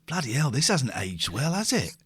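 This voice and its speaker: A man nearing fifty chats loosely on a live stream, his pitch swinging widely from low mutters to sudden high bursts. He rambles, repeats himself and pokes fun at himself while reading viewers' comments aloud. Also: very animated, normal speed, a bit bright, husky. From England. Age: 40s. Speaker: host. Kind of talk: casual live-stream chat.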